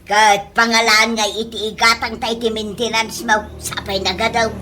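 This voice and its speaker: An actress playing a brisk, bossy middle-aged mother selling fish in a comedy radio drama, with a Filipino accent. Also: fairly high pitch, little pitch movement, close to an everyday voice. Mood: grumbling.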